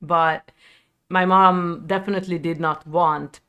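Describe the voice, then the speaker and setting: A woman in her thirties with a Hungarian accent, telling a personal story to her viewers in a reflective, halting, candid way. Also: fairly low pitch, steady tone, pushed and bright, clear, resonant tone, highs cut off. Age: 30s. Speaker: video creator.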